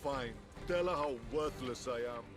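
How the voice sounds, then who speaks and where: An actor playing a defeatist, melodramatic war god turned rooster, full of self-pity, in a video game cutscene. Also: male voice, mid-range voice, unhurried, over music, lightly acted. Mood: sulky, defeated. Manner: gloomy, melodramatic.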